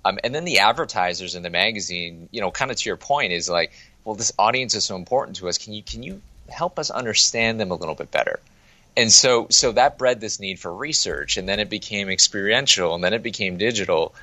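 A young man with a deep, forceful voice, recorded dry and close. He talks business in a brisk, chatty way, tripping over restarts as ideas pile up. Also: lively intonation, dry recording. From Toronto, Canada. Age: young adult. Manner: quick, chatty, many restarts. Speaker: marketing agency president. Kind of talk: casual interview talk. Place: remote interview, Toronto office.

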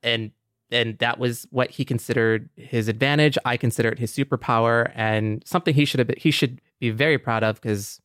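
A woman's voice: rumbling low, steady tone, a little fast, dry recording, clean studio sound. A woman co-hosting a casual podcast, talking in a candid, conversational way. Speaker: podcast co-host.

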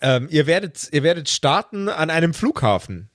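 A man with a slightly high voice is recorded very dry, with no room sound. He explains things as a game master in a casual, slangy and joking style. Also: big pitch swings, moderate pace, no room sound. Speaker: game master of a role-play podcast.

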